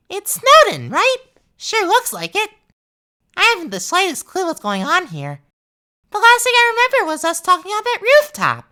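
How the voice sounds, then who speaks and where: A woman's voice: very high voice, exaggerated voice. A woman voicing a lost, desperate young creature in a dramatic game fan dub, with a theatrical delivery. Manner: theatrical, swinging from whimpers to shouts. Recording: echoey, with music behind.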